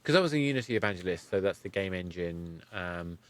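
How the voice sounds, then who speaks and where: A man with a fairly low voice talks as a podcast host in a dry, close recording. He speaks conversationally and haltingly, reworking his phrases as he goes. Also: expressive, dry recording.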